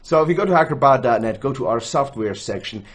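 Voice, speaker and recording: A young man with a Swiss accent talks through a screen-recorded tutorial in a chatty, animated way. His pitch jumps around a lot as he gestures and jokes at himself. He sits at a desk mic in a small room. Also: fast talker. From Switzerland. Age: young adult. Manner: chatty, self-joking, gestures while explaining. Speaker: gaming tools channel host. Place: indoors, small room, gaming chair.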